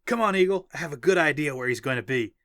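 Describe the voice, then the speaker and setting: Male reader as confident fighting man, audiobook dialogue, lifted pitch, keen and focused. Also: expressive, quick pace, fairly bright tone, no room sound. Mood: eager. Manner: confident, eager. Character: cocky, focused male fighter, eager to prove himself.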